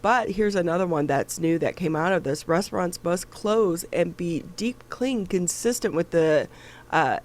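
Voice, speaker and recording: A talk show host with a stuffy nose, reading the news and chatting casually with a co-host, with lots of fillers and restarts. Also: mid-range voice. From Michigan.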